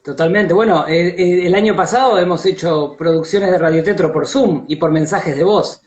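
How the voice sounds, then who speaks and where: A man's voice: sits high, normal speed, darkish tone, dull audio. A man with an Argentine accent hosting a live online conversation, speaking earnestly and reflectively. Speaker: interviewer and host. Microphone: online video call.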